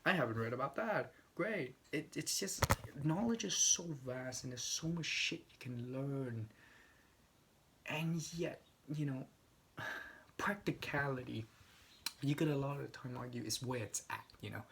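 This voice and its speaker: A man's voice: medium pitch, a little slow, gravelly, a bit bright, a little hiss or hum. A young man rambling casually, swearing often and stumbling over restarts.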